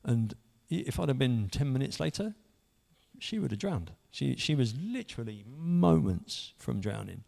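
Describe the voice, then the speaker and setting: A man's voice: mid-range voice, big pitch swings, moderate pace, gravelly, warm tone. A man, earnestly telling his own story in a rambling way, full of restarts. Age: 50s. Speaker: church member sharing testimony. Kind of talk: personal testimony.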